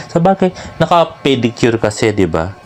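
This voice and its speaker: A man's voice: medium pitch, big pitch swings, unhurried. A man with a Filipino accent hosts a fan vlog, giving casual, teasing and jokey celebrity gossip commentary in mixed Tagalog and English. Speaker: celebrity fan vlogger and host.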